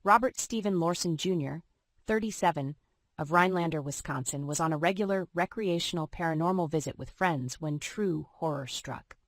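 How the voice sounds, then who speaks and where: A woman's voice: sits low, normal speed, dry recording. A woman reading scripted true-crime narration in the style of a news report.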